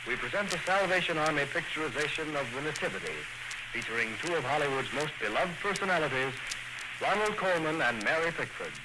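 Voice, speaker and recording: A man's voice: fairly high pitch, animated, a little fast, very noisy. A man narrating as a vintage radio announcer, in a solemn, grandly dramatic scripted style. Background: loud music.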